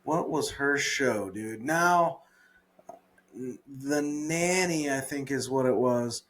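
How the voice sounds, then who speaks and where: A man's voice: medium pitch, takes it slowly, a bit bright. A man with a Southern accent co-hosting a podcast, chatting casually, laughing often and trailing off while he searches for words. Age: adult. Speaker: podcast co-host. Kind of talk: casual chat.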